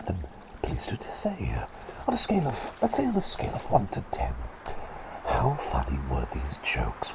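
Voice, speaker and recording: Man whispering as a gentle, bumbling bird. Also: heavily acted, low-quality recording. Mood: eager, gently awkward. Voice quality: breathy whisper with creaky low voiced moments. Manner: hesitant, polite, repeating phrases softly. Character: gentle, bumbling talking bird doctor, kindly and silly. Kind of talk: whispered ASMR roleplay.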